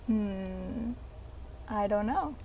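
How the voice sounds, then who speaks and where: A woman's voice: medium pitch, expressive, very slow, dark tone, slight background noise. A woman voicing Jelly, an eager puppet sidekick who plays along and laughs at jokes. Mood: playful.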